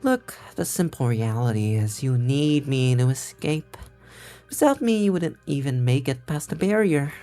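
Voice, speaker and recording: Low-voiced, sly turncoat schemer. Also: female voice, animated, a little slow, dark tone, faint music behind, full character voice. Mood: insistent. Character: sly, cocky turncoat schemer seeking escape. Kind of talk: visual novel game dialogue.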